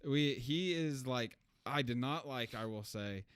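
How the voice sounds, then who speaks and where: A man's voice: mid-range voice, a little slow, a bit bright, clear, resonant tone, no room sound, studio quality. A man co-hosting a film review podcast, talking casually and giving informal, blunt opinions. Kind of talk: casual chat, movie review discussion.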